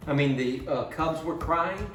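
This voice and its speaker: A man's voice: on the high side, a little slow, a bit bright. A man giving a children's Bible lesson as storyteller, speaking simply and instructively and putting questions to small children. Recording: roomy, distant mic with background noise.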